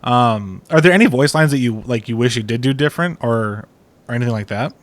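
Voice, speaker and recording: A man's voice: medium pitch, expressive, brisk, no room sound. A man hosting a casual interview, talking in an informal, slangy and encouraging way. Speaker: podcast host.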